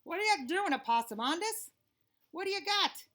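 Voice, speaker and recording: A woman's voice: extremely high pitch, lively intonation, normal speed, a bit bright, pitched above the performer's own voice. Woman voicing a nosy, skeptical storybook animal. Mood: curious. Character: nosy, skeptical wild animals met along the road.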